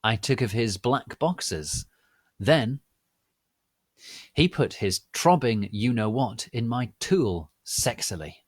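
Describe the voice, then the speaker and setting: A man narrating a comic story in a theatrical, deliberate, dramatic reading style. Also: mid-range voice, expressive, slightly rough, no room sound, clean studio sound.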